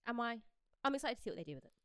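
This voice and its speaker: A woman's voice: a little high, lively intonation, very fast, a bit bright, clean tone, no echo, studio quality. A young British woman co-hosting a podcast, chatting informally and with opinions about pop culture. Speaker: podcast co-host.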